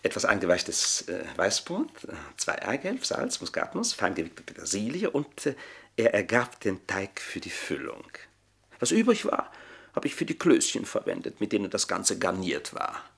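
An actor in a German radio play playing a scheming, gloating manservant with a pompous, mock-deferential, savouring delivery. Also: male voice, lowish voice, wildly swooping pitch, normal speed, gravelly, fairly bright tone, full character voice.

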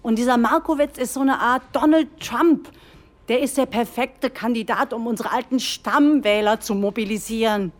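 An actress playing a self-assured, scheming party leader who persuades and placates in a satirical radio play. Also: female voice, a little high, moderate pace, close to an everyday voice. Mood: scheming, reassuring.

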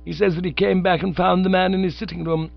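A man's voice: high voice, level tone, quick pace, vocal fry, pitched above the performer's own voice. A man voicing a stern, pompous middle-aged aunt who lectures loftily and stalls over delicate words. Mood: imperious. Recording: slightly dull lo-fi recording.

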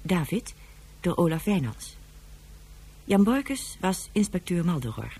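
Low, husky woman announcer. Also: big pitch swings, slow, mellow. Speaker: announcer reading the credits. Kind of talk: scripted radio drama credits announcement.

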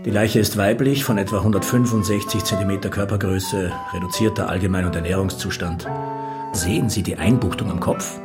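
An actor playing a matter-of-fact forensic examiner giving clinical findings in a radio crime drama. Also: male voice, low-pitched, steady tone, a little fast, close to an everyday voice. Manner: clinical, detailed. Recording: loud guitar music behind.

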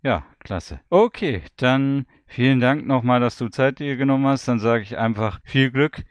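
A man's voice: medium pitch, expressive, normal speed, no echo, muffled recording. A man asking questions as an interviewer in a casual, conversational style.

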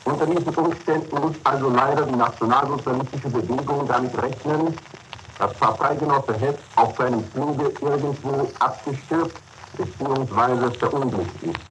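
Faint noise from an old radio recording surrounds a man making an official announcement. He speaks formally at a medium pitch, in the style of 1940s broadcasting. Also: normal speed, rough recording.

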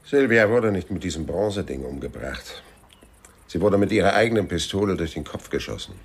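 Hardboiled detective narrator: bottomless gravelly voice, husky, dry, laconic, for radio crime drama. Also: male voice, low voice, lively intonation, a little fast, heavily acted. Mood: probing, dry. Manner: dry, matter-of-fact, terse. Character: laconic, probing male private detective narrating his case.

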